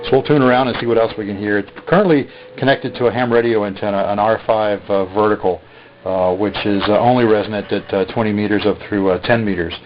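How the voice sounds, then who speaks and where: A man demonstrating a radio he is selling in a casual, halting way, filling pauses with lots of "uh". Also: on the low side, fairly even intonation, crowd noise. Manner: halting, many "uh" fillers. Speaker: radio seller demonstrating a receiver. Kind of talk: casual product demo. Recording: dull lo-fi recording.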